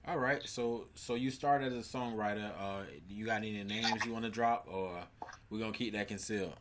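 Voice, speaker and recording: A man's voice: on the low side, steady tone, moderate pace, noisy background. A man interviewing a musician, asking loose questions padded with fillers. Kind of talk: casual interview.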